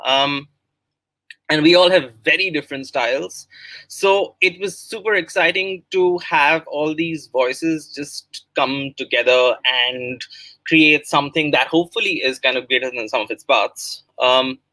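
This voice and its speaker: Bright-voiced Indian man, close and dry. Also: fairly high pitch, unhurried, no room sound, low-quality recording. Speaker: writer and guest speaker. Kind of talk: spoken promotional presentation of a project.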